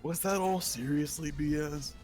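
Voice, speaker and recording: A man's voice: fairly high pitch, normal speed, a little mellow, natural voice. A man voicing a panicky, gullible young fortune teller in a game, rambling and exclaiming. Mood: dismayed, indignant. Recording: music behind the voice.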